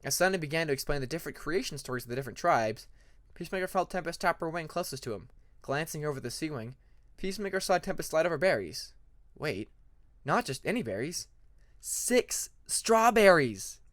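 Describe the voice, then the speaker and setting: A man's voice: a little high, lively intonation, brisk, a bit bright, no echo, studio quality. A man reading scripted story narration as an amateur audiobook narrator.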